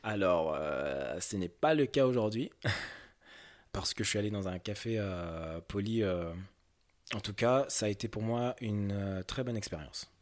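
A young man from France speaks in a low, unhurried voice, answering questions in an interview. He hesitates often, stacking fillers and restarting his sentences as he gropes for the right words.

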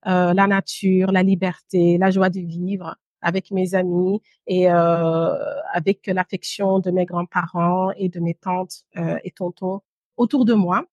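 A woman in her thirties from Guinea and Belgium, telling her story as an interview guest in a measured, pausing way with the odd English word. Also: lowish voice, flat delivery, darkish tone, dry recording, highs cut off. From Guinea and Brussels, Belgium. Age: 30s. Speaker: podcast guest, entrepreneur.

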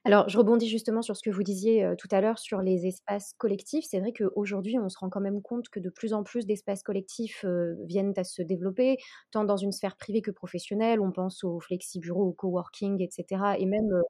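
Mellow-voiced woman interviewer. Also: mid-range voice, fairly even intonation, dry recording, clean studio sound. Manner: measured, with fillers while shaping long questions. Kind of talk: interview questions.

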